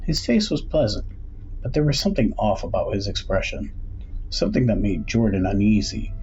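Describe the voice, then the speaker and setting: A male audiobook narrator reads a suspense tale in a fairly low voice. His intonation is very animated. The sound is a little dull, with faint background noise. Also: brisk. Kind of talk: scripted narration of suspense story. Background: faint ambient music or noise.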